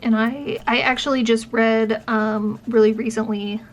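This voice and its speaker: A young adult woman chats to camera in an easy, rambling way, leaning on fillers and quick restarts. Her voice sits a touch high. A faint noise runs under the recording. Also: steady tone, lo-fi sound. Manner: chatty, many fillers and restarts. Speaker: vlogger and professor. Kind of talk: casual chat.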